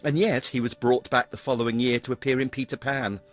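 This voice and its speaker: A man's voice: medium pitch, steady tone, fairly quick, soft background music. An older British man giving a scripted retrospective narration in a wry, theatrical, wordy manner. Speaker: pantomime performer narrating career retrospective.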